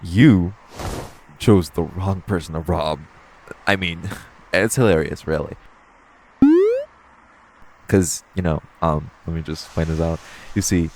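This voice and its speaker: A man's voice: low-pitched, a little hiss or hum, poor audio. A man playing a flirty, cocky supervillain thief in an audio roleplay, teasing the listener with mock politeness. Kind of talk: theatrical audio roleplay, one-sided dialogue to the listener.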